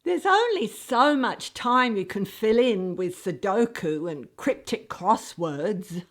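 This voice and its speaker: A woman's voice: mid-range voice, lively intonation, slow pace. A woman playing a scatterbrained, fussy older radio actress in a comedy, talking in a flustered, rambling way. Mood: melodramatic, lovestruck. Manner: flustered, rambling, over-explaining. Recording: roomy echo.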